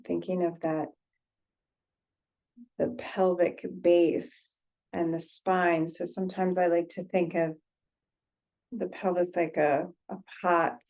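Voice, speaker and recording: A woman guides a meditation in a lowish, soft-edged, mellow voice. She speaks very slowly and gently, pausing often and repeating phrases as she goes. The sound is a little dull and lo-fi. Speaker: meditation teacher.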